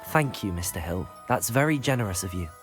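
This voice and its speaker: An actress playing a modest, polite student hero in a video game, giving short, understated replies. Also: female voice, a bass voice, expressive, a little fast. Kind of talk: video game cutscene acting. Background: game score music.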